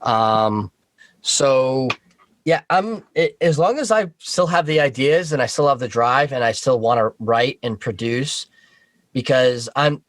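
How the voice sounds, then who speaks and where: Give me a man as a podcast guest, medium-pitched, informal and halting, recorded dry. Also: lively intonation, dry recording. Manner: informal, halting, thinking aloud. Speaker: guest, audio horror series creator. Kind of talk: casual interview talk.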